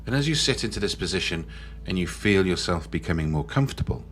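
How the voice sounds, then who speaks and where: A man leading a guided meditation in a slow, soothing manner with long pauses. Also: deep voice, animated, a bit bright. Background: background music.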